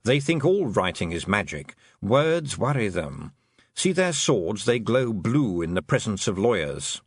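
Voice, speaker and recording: A man's voice: lowish voice, very animated, measured pace, breathy edge, no echo, studio quality, full character voice. A man voicing a talking toad who explains things in a murmuring, matter-of-fact, knowing way.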